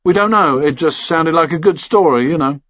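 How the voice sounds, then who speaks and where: A man's voice: fairly high pitch, fairly quick, fairly bright tone, no echo, dull audio, pitched above the performer's own voice, natural voice. A man voicing a wary British man in an audiobook, offhand and evasive under questioning.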